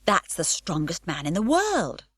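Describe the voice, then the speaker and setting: A woman's voice: fairly low pitch, wildly swooping pitch, unhurried, husky, dry recording, clean studio sound, heavily acted. A woman voicing a timid, doubtful young girl who protests anxiously.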